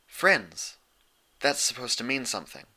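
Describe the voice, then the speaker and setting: A man's voice: medium pitch, big pitch swings, a little slow, bright, forceful tone, full character voice. A man voicing an earnest, exasperated young female unicorn pony in a storybook reading, insistent and pleading.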